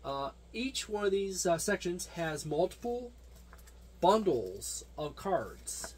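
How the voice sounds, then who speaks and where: A man giving a casual, unscripted product overview as a hobby reviewer, talking haltingly and wandering into side remarks. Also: high voice, sing-song. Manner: halting, digressive delivery. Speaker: tabletop gaming reviewer.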